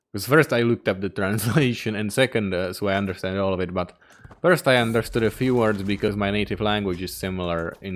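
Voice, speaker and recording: Gamer guy talking over his strategy game, smooth clear voice, cursing and complaining as he goes. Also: mid-range voice, a bit bright. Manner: swearing, exasperated running commentary. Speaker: gaming YouTuber. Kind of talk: strategy game playthrough commentary.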